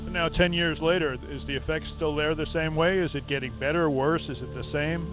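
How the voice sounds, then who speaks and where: A man in his fifties, calmly and reflectively talking in an interview and asking questions. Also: a little high, brisk, over music. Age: 50s. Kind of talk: recorded interview.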